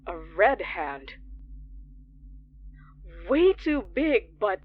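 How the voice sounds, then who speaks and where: A woman's voice: medium pitch, very animated, very slow, narrow, tinny audio, slight background noise. A woman playing a frightened radio DJ trapped overnight in a horror audio drama, speaking in a shaky, hesitant way. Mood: shaken, dread.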